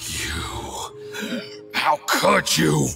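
A man's voice: on the high side, huge pitch swings, extremely slow, vocal fry, over music, full character voice. A man playing a condescending, boastful official in a video game, with a patronizing, theatrical drawl. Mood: shocked, outraged. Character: smug condescending Fatui official, boastful drinker.

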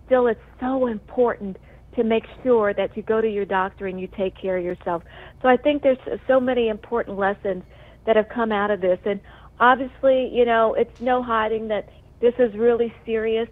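Higher-voiced woman on a tinny phone line. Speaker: TV news presenter on medical leave, calling in. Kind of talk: casual, heartfelt phone chat. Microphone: telephone call.

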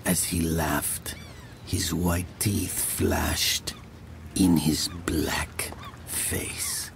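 A man's voice: level tone, extremely slow, exaggerated voice. A man acting as a brooding laborer who narrates his grim past in an audio drama, often dropping to a whisper. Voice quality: gravelly, low. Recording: music under the voice.

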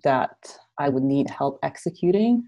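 Low-voiced giggly woman on a video call. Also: moderate pace, dull audio. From Malaysia. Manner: relaxed, giggly, chatty. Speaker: guest content creator. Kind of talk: casual video call interview. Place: small bright room at home. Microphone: earphone mic on a video call.

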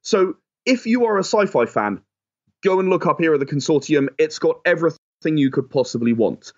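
A man's voice: on the high side, expressive, fairly quick, highs cut off. A man being interviewed as a game creator and producer, explaining his work conversationally.

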